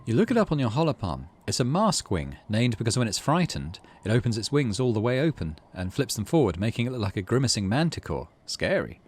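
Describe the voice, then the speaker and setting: A man's voice: mid-range voice, sing-song, a little fast, hardly any pauses, a little mellow, slight background noise. A man hosting a game playthrough, reading story text aloud expressively and adding casual asides. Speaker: gaming video host.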